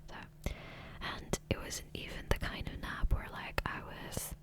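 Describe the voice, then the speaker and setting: Whispering woman, soft ASMR ramble. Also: takes it slowly. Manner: rambling, hesitant, self-interrupting. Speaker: ASMR gaming video creator. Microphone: close, sensitive USB microphone.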